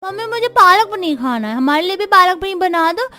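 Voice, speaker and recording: A woman's voice: very high voice, sing-song, fairly quick, bright, forceful tone, soft background music, voice pushed up, heavily acted. A woman voicing a young child who begs a mother, in a moral-story cartoon. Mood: eager, pleading. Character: pleading young child begging mother for food.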